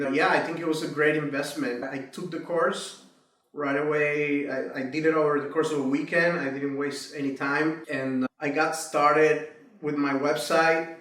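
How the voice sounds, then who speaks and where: A man's voice: fairly high pitch, fairly bright tone. A man from Miami giving a casual, reflective testimonial about a freelance writing course, often adding "right?" and "you know". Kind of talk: testimonial interview. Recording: echoey room, distant mic, faint music.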